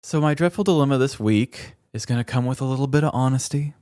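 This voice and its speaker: A man's voice: mid-range voice, fast talker, a little mellow, dry recording, studio quality. A man co-hosting a casual comedic podcast, talking in an earnest, candid and informal way. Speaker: podcast co-host.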